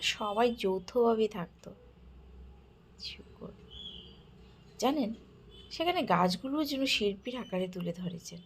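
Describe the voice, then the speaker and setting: A woman giving an admiring, soft-spoken narrated walk through an exhibit, now and then dropping to a whisper. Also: medium pitch, a little hiss or hum. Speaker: vlogger touring a village-life exhibit. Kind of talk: casual narrated walkthrough.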